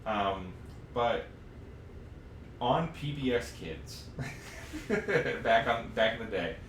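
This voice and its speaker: A man in his thirties chatting casually as a podcast co-host, rambling and halting as he goes. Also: mid-range voice, lively intonation, a bit bright, far from the mic. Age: 30s. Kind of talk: casual chat about old games. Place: echoey room, live stream podcast recording. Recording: roomy echo, noticeable background hiss.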